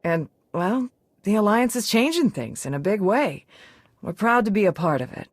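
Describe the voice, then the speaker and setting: A brash mercenary pilot. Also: female voice, mid-range voice, moderate pace, slightly rough, no room sound. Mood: sarcastic. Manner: brash, sarcastic, lively delivery.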